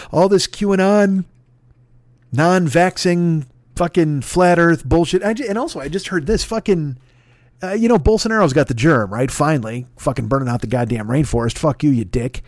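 A man's voice: fairly high pitch, expressive, moderate pace, a little mellow, no echo, clean studio sound. A man hosting a podcast, delivering a profane, sarcastic comedic rant. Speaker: podcast host.

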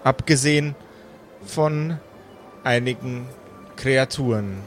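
A man hosting as game master, telling a story in an atmospheric, unhurried narrator's style. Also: mid-range voice, soft background music. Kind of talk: slow atmospheric scripted narration.

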